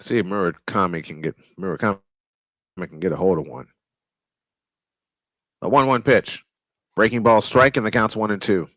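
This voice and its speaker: A man calling a baseball game live, unhurried, filling the pauses between pitches. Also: fairly bright tone, no room sound, low-quality recording. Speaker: play-by-play baseball announcer. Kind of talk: live sports commentary.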